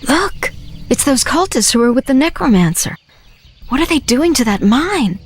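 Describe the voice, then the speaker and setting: A woman's voice: high-pitched, sing-song, moderate pace, breathy edge, faint music behind, heavily acted. A woman playing a sensible, observant young sorceress in a fantasy video game, composed and articulate.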